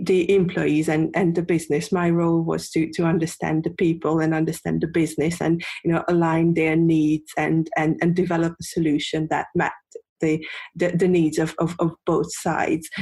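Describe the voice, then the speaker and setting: A woman from Hungary, a podcast guest, answering thoughtfully in a halting way with many repeated words. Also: lowish voice, darkish tone, breathy edge. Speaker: guest, UX designer. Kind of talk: casual interview answers.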